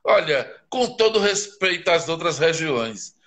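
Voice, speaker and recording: Impassioned Northeastern Brazilian man, high voice. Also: very animated, measured pace, a bit bright. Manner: impassioned, emphatic, rhetorical questions. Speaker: guest writer. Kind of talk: live interview over a video stream.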